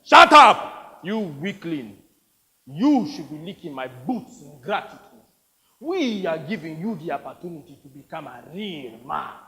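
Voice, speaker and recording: A man's voice: a little high, very animated, moderate pace, darkish tone. A man playing a savage, gloating African rebel warlord in a stage story, commanding and theatrical, in a reverberant hall. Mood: contemptuous, commanding.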